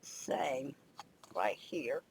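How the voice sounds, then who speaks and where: An older woman with a slight head cold and a raspy, gravelly voice, chatting casually and thinking aloud as she demonstrates a craft project. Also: a bass voice, drawn-out words, slight background noise. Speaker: craft video host.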